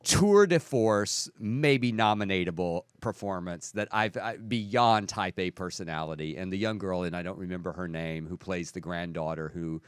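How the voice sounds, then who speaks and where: A man from South Texas co-hosting a talk show in a low, relaxed register. He chats with gushing enthusiasm and often stumbles and restarts mid-sentence. The recording is close and dry. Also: normal speed, few pauses, smooth, dry recording. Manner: chatty, enthusiastic, many restarts. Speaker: co-host. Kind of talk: casual chat.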